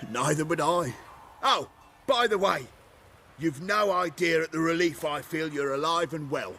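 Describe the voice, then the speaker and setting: Brash, bright-voiced shapeshifting rogue. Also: male voice, fairly high pitch, unhurried, a little hiss or hum. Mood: relieved, explaining. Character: shapeshifter posing as foul-mouthed crime boss. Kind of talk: video game dialogue.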